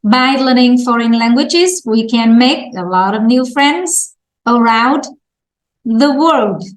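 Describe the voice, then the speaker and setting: A woman's voice: fairly high pitch, slow pace, reverberant. A woman English teacher with a Vietnamese accent, patiently prompting students in an online lesson and laughing often.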